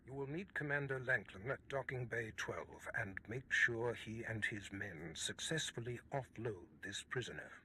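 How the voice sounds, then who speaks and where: A man playing a commanding, scheming Sith lord in a video game, with formal, measured, imperious delivery. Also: medium pitch, sharp tone, slight background noise, close to an everyday voice. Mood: cold, commanding. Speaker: voice actor in a game. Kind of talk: cinematic video game acting.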